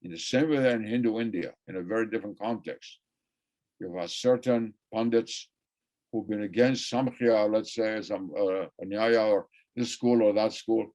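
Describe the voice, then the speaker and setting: A man's voice: lowish voice, moderate pace, a little mellow. An older man whose first language is Persian gives an academic lecture, wandering between points and repeating phrases for emphasis. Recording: muffled lo-fi recording.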